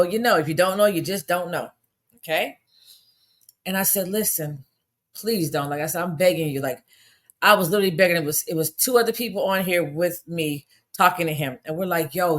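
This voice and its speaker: Hoarse, indignant woman podcast host. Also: fairly low pitch. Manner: animated, indignant, emphatic. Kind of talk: casual monologue podcast.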